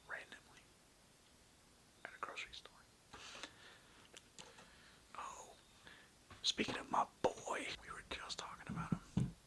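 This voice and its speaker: A young adult whispering an informal, rambling ASMR toy show-and-tell close to the mic. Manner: rambling, informal, occasional swearing. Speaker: content creator showing a toy collection.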